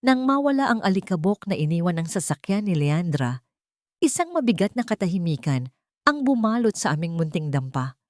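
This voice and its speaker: A woman with a fairly low voice narrates a scripted drama. Her delivery is deliberate, solemn and full of feeling, recorded close and dry. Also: very animated, no echo. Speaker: audio story narrator. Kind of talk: dramatic scripted first-person story narration.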